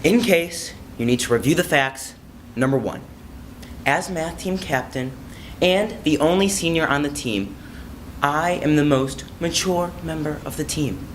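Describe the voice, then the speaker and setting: A man acting a self-important high school math team captain in an emphatic, declamatory monologue. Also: expressive, measured pace. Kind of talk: theatrical monologue audition. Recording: faint room noise.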